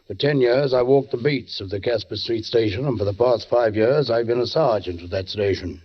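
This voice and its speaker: An actor playing a brooding middle-aged London police sergeant who narrates a murder tale in a measured, formal, ominous 1940s radio style. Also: male voice, medium pitch, close to an everyday voice. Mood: grave. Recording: dull 1940s radio recording, faint music.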